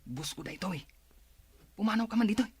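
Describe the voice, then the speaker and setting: A man's voice: high-pitched, expressive, fast, husky, exaggerated voice. A man playing an evasive, irritable husband in an audio drama, defensive and grumbling. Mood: agitated, defensive. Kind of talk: Filipino audio drama acting.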